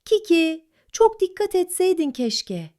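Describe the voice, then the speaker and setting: Woman playing a high-voiced delicate little rabbit. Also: big pitch swings, slow pace, dark tone, heavily acted. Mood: hurt, reproachful. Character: delicate, gentle, hurt little rabbit friend.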